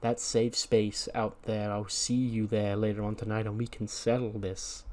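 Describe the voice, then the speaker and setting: Man acting a male cult-like villain, soft-spoken, measured, falsely soothing, retold wrestling promo. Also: on the low side, lively intonation. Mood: calm menace.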